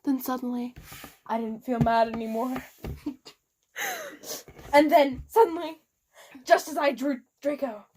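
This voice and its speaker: A woman's voice: high voice, fairly even intonation, measured pace, pauses often, mellow, slight background noise. A woman giving a comic dramatic reading of fan fiction, theatrical and prone to giggling. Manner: theatrical, giggly, often breaking up. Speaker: reader.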